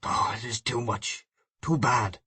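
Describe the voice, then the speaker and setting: A man's voice: mid-range voice, a little slow, sharp tone, husky, exaggerated voice. A man reading an audiobook voices an enraged, grief-stricken husband in a hard, accusing tone. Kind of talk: audiobook reading of a classic novel.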